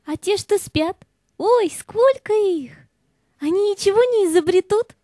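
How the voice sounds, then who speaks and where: A young fairy-tale heroine, kind and full of curiosity. Her voice is very high with a soft edge, in a dry audio-play recording. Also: lively intonation, a little slow, no echo. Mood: curious. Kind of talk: children's audio play acting.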